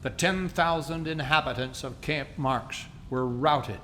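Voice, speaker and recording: A man narrating a radio play in a measured, grave, scripted documentary style. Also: medium pitch, fairly bright tone, slight background noise.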